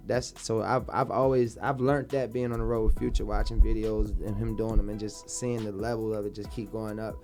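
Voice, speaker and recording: A young man speaks in a laid-back, rambling way, often circling back on his own words. His voice has a gravelly, creaky edge. Faint music plays behind him. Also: fairly low pitch, flat delivery, a little fast, hardly any pauses, a little mellow. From Baltimore, born in Dallas. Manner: laid-back, repeats himself a lot. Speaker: rapper guest. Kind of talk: casual interview talk.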